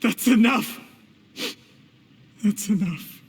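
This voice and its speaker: A man playing an ambitious, falsely courteous villain in a video game, speaking in a polite, insinuating and measured way. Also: high-pitched, slight background noise, full character voice. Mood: distressed. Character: scheming, smooth-tongued ambitious male villain, falsely courteous. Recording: long hall reverb, music underneath.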